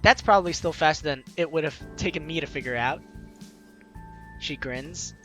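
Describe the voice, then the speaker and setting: A young man with a high, bright, forward voice plays through a game on his show. He reads the text aloud and drops in chatty, joking asides, with faint music underneath. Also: moderate pace. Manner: informal, jokey, chatty asides. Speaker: host of a gaming let's play series. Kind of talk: let's play commentary, reading game text aloud.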